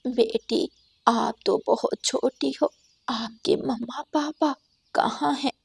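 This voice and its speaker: A woman voicing a kindly old mother dove in a cartoon, gentle, soft-spoken and caring. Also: fairly high pitch, steady tone, slow, gravelly, breathy edge, dry recording, heavily acted.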